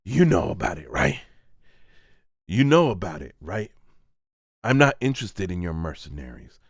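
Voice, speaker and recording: A cold vigilante interrogator in a manga voice-over acted by a man. His low voice has a gravelly creak, and he speaks in terse, controlled, menacing phrases. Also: very animated, moderate pace, dry recording, clean studio sound, full character voice. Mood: cold interrogation. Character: brooding male vigilante, cold, threatening interrogator. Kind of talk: amateur manga voice-over acting.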